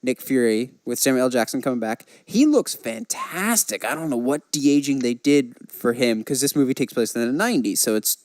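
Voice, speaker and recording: A man's voice: medium pitch, lively intonation, a little fast, few pauses, darkish tone, no room sound. A man hosting a podcast in casual, enthusiastic, conversational chat. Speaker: podcast host.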